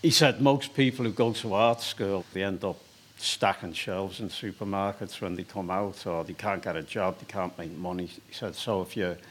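A man's voice: low-pitched, husky. A man in his sixties with a Liverpool accent, a stone carver telling his story in an interview, hesitant with frequent fillers. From England. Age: 60s. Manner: hesitant, with many fillers and restarts.

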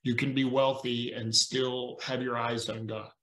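A middle-aged man talks earnestly over a video call, leaning into stories and lessons like a lay preacher. His voice sits at an ordinary pitch, and the room around him rings with a noticeable echo. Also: flat delivery, unhurried, a bit bright. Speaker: podcast guest. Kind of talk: casual conversation.

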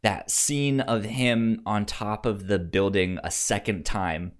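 A man co-hosting a casual film discussion, speaking haltingly and often repeating sounds and words as he goes. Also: on the low side, fairly even intonation. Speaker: film podcast co-host.

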